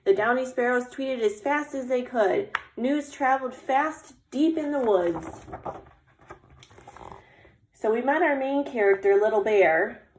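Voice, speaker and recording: A woman's voice: on the high side, measured pace, darkish tone, slight background noise. A woman teacher reading a children's story aloud expressively and explaining it simply with questions. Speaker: kindergarten teacher. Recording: echoey room.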